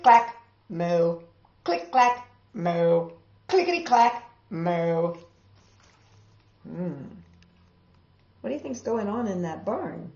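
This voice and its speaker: A middle-aged woman hosting a children's storytime, reading a picture book theatrically and deliberately, with chatty asides to young listeners. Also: mid-range voice, gravelly. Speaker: storytime host. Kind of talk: animated children's storybook read-aloud. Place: small living room indoors. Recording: dull, roomy indoor webcam sound.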